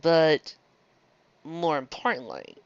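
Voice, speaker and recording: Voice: medium pitch, wildly swooping pitch, slow pace, gravelly, no room sound. A reviewer giving a casual, rambling monologue, digressing often and stumbling into restarts mid-phrase. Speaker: fan fiction reviewer. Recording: dull lo-fi close dry recording.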